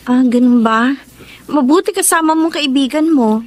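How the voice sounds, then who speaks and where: A young Filipino woman played by an actress in a radio romance drama, with a high-pitched voice. She is the understanding, trusting girlfriend and speaks with dramatic feeling. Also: moderate pace, darkish tone, natural voice. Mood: caring, accepting. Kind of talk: Tagalog radio drama acting.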